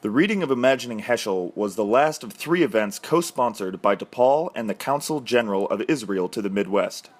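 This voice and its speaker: A man's voice: fairly low pitch, lively intonation, a little fast, few pauses. Male reporter reading scripted narration.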